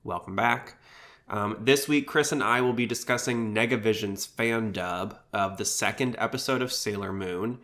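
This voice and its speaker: A man's voice: fairly low pitch, moderate pace, a bit bright. A man hosting a podcast, talking informally and explaining things in a casual style. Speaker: podcast host.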